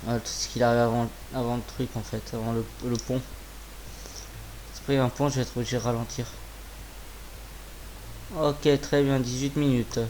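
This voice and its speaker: A slightly hoarse man with a sore throat giving casual, informal gameplay commentary, muttering to himself and swearing. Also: mid-range voice, flat delivery, normal speed, pauses often, a little mellow, slight background noise, poor audio. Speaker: gaming video creator.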